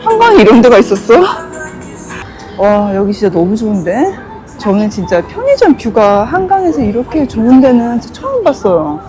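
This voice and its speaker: A middle-aged woman with a Busan accent chats through a travel vlog, full of exclamations. Her voice sits fairly high and swings around a lot. Music plays clearly behind her. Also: normal speed. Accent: Busan Gyeongsang dialect. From Busan, South Korea. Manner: chatty, exclaims often, slips into dialect when excited. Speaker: travel vlogger. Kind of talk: casual vlog chat. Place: outdoors by a river and in a convenience store.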